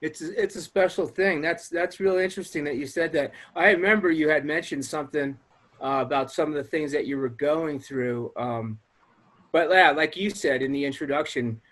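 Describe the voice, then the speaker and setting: A man's voice: a little high, moderate pace, a bit bright. A man, a recovery coach and guest, talking earnestly and with energy in a casual interview. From Long Island, New York.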